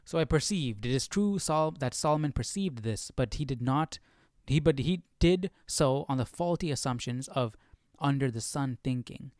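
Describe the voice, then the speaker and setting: A man hosting a live Bible study in a casual, questioning way, thinking out loud as he reads and comments. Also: mid-range voice, animated, moderate pace, hardly any pauses, no echo, studio quality.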